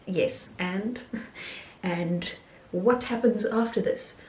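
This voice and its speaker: A woman talking casually about films she has watched, pausing often with ums and restarting her sentences. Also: mid-range voice, a little mellow, reverberant. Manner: hesitant, many ums and restarts. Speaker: film vlogger. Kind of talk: casual film review talk.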